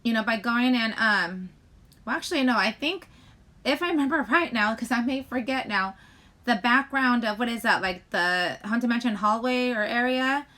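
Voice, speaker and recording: Woman livestreamer w a pretty high, really bright voice, rambly casual chat, keeps trailing off n restarting. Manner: halting, wandering, often breaks off mid-sentence. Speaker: live stream host chatting with viewers.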